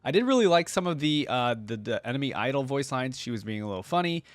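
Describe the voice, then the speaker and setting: A man's voice: medium pitch, big pitch swings, fast, dry recording, clean studio sound. A man giving casual, enthusiastic reaction commentary as a video host, often musing aloud.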